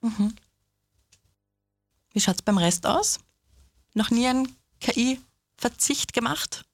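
A woman's voice: a little high, takes it slowly, no room sound. A woman hosting a conversation and asking short, casual follow-up questions. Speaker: host.

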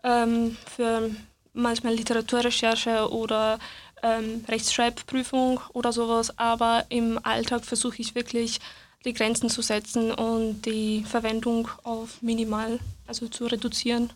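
A woman student speaks casually and keeps correcting herself as she goes. Her voice is fairly high but stays flat and level. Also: a little slow. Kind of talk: casual discussion.

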